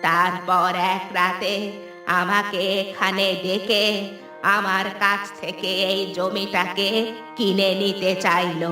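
A woman's voice: mid-range voice, level tone, takes it slowly, pushed and bright. A woman voicing a wronged old woman ghost, commanding and mournful, in a horror cartoon. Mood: sorrowful, bitter. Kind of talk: Bengali horror cartoon voice acting. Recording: echoey, distant, music underneath.

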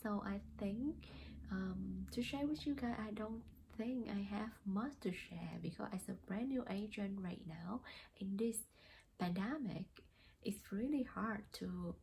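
A woman's voice: mid-range voice, measured pace, creaky, a little mellow, a little hiss or hum. A young woman from Vietnam with a Vietnamese accent, talking casually to camera as a new real estate agent, hesitant with many fillers. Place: small plain indoor room.

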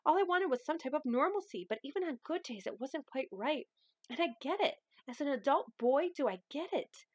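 A hurt, defensive woman around thirty, played by a woman reading aloud, high in pitch and emotional. She pleads her case in a dramatic audiobook-style novel reading, with a dry sound. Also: lively intonation, a little fast, darkish tone, no room sound. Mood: hurt, angry.

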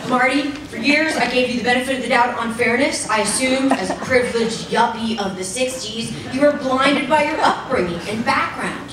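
A woman's voice: a little high, moderate pace, few pauses, background noise. A middle-aged woman giving a dramatic stage reading of letters with exaggerated indignation, into a stand mic. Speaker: stage reader. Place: stage in a large hall. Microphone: stand mic at a music stand. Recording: echoey hall from far off.

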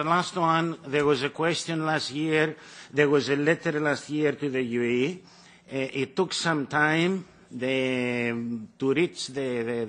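A man presenting his petition at a parliamentary hearing, halting and repeating words as he speaks into a desk microphone in a large committee room. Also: mid-range voice, fairly bright tone. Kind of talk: formal hearing statement.

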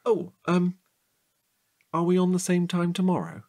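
A man acting a chatty, curious night-watch guard in a naturalistic audio drama, husky and halting in a playful way. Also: high voice, steady tone, background noise. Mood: amused.

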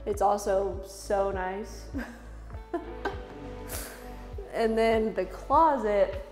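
Young woman vlogger, upbeat house-tour chat, long reverb from bare rooms, soft music under. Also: medium pitch, very slow. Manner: chatty and upbeat, laughs often. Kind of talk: casual house tour narration. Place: large empty house, indoors. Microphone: camera mic from a few steps away.

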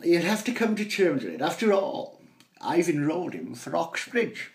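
A man voicing a pompous, plummy upper-class country gentleman father. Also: a little high, sing-song, moderate pace, vocal fry, pitched above the performer's own voice. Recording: roomy echo.

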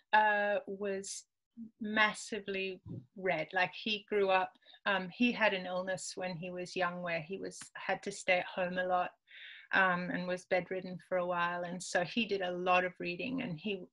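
A woman's voice: fairly low pitch, pushed and bright. A woman in her forties, a Spanish native speaker from Peru, talking casually as an author guest over a video call from her home, with many ums and easy laughs. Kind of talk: casual conversational interview. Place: home room with bookshelves. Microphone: video call webcam.

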